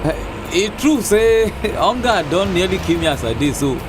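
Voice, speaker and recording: A man's voice: high voice, expressive, moderate pace, noisy background. An actor with a Nigerian accent playing a cheerful, idle young man in a radio drama, chatting in Pidgin and chuckling often. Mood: playful.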